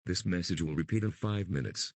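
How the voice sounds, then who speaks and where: A man's voice: low-pitched, a little fast, dry recording. A man voicing an impersonal government announcer reading a formal scripted emergency message. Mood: official.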